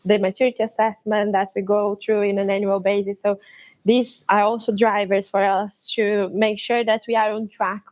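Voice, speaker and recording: A woman from Brazil in her thirties with a Brazilian Portuguese accent, presenting at a conference with some halting restarts. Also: mid-range voice, a little mellow, poor audio. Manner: slightly halting, with restarts and fillers.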